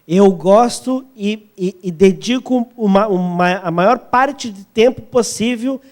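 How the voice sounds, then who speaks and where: A man with a Brazilian accent interpreting a sermon phrase by phrase, sometimes halting as he searches for wording. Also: sits high.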